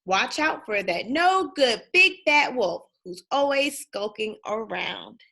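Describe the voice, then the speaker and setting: Lady doing a storybook mama pig, kind but firm, emphatic, lilting high and low. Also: expressive, slow, creaky, sharp tone, full character voice. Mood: cautioning. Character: mother pig, kindly but firm, giving advice.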